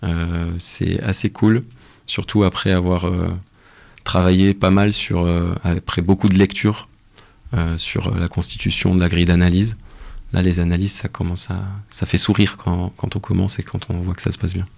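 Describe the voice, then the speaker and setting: A young man with a low, gravelly voice is being interviewed as a researcher. He explains his work casually and haltingly, pausing often as he looks for words. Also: flat delivery, a little mellow. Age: young adult. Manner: hesitant, halting delivery with frequent pauses. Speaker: interview guest, doctoral researcher.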